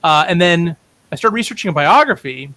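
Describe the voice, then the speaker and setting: Middle-aged male interview guest, bright and slightly high voice, knowledgeable rambling storyteller. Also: expressive, fairly quick. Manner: informed, discursive, self-correcting. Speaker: writer and playwright guest.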